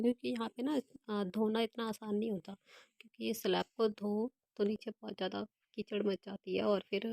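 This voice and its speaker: A young woman vlogger with a hoarse, gravelly voice from a cold and a dark, mellow tone. She talks in a flat, even way, explaining her kitchen chores step by step. The recording is dry and close. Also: mid-range voice, dry recording. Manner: chatty, explains her daily routine step by step. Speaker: home vlogger. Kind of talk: casual voice-over narration of home chores.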